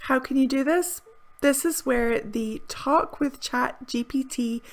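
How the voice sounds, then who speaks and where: A woman presenting a lesson video in a clear, upbeat, carefully enunciated teaching manner, recorded indoors on a close camera mic. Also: high voice, slow pace. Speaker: English teacher.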